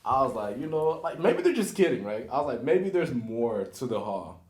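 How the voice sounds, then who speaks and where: A man's voice: medium pitch, very animated, moderate pace, darkish tone, reverberant. A young man from Florida chatting casually as a co-host, laid-back and full of slang. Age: young adult. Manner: laid-back slang, says "bro" a lot. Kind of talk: casual chat.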